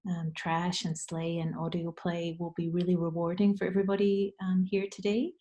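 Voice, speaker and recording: A woman speaks in a fairly low register with a very warm, relaxed tone. She is reflective and measured, delivering unscripted closing remarks as a panel moderator. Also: dull audio.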